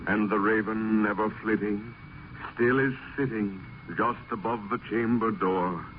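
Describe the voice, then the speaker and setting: Male narrator, lowish pitch, solemn, declamatory verse recitation, old radio, narrow band. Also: steady tone, a little slow. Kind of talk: dramatic poetry recitation, old-time radio. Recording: narrow, noisy vintage radio recording.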